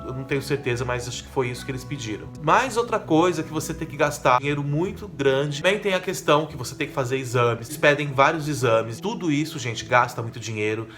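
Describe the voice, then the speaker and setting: Man, São Paulo accent, mid-pitched voice, casual vlog chat, soft guitar music behind. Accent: São Paulo Brazilian Portuguese. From São Paulo, Brazil. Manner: chatty, addresses viewers, frequent tag questions. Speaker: vlogger on living in Portugal. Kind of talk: casual talk to camera.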